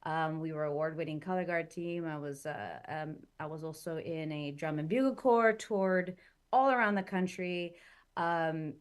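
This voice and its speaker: A woman born in Chile and raised in Southern California, with a fairly low voice. She chats animatedly as a guest and fills her pauses with ums. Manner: chatty and animated, many fillers. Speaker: guest actress. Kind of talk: casual interview chat.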